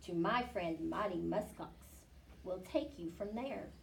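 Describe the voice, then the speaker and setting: A woman's voice: lowish voice, slow pace, creaky, slight background noise, voice pushed down. A woman voicing a huge, tusky, proud male walrus in a children's picture book. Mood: proclaiming.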